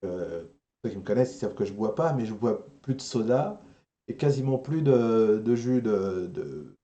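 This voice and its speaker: A male live-stream host chats in a loose, informal style with a joking streak. His phrasing is halting and conversational, and the sound is noticeably roomy and echoing. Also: lowish voice, animated, mellow, lo-fi sound. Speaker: host of an online anime and geek talk show. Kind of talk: casual live-stream chat.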